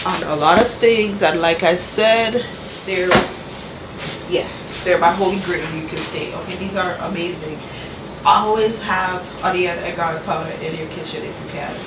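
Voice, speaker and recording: A woman hosts a casual cooking vlog, her voice stuffy and nasal from a cold. She keeps checking in with her viewers with "you guys" and "okay". She is recorded from a distance in an echoey kitchen, with a clear hum of room noise behind her. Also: lowish voice. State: congested from a cold, talking through her nose. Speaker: cooking channel host. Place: home kitchen.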